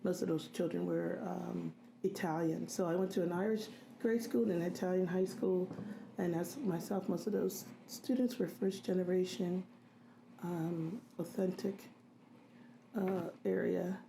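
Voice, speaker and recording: A middle-aged woman in an interview, recalling her childhood in a halting way with many pauses. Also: lowish voice, fairly even intonation, gravelly, dark tone, slight background noise, low-quality recording. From grew up in a US city in a Haitian immigrant family. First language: Haitian Creole. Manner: halting, many ums and restarts. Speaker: interviewee, registered nurse. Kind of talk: reflective interview recollection.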